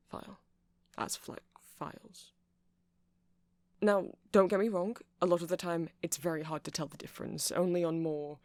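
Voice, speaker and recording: A man playing a nerdy male vampire in an ASMR roleplay, rambling haltingly and passionately to a listener as he explains things. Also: on the high side, gravelly, no room sound.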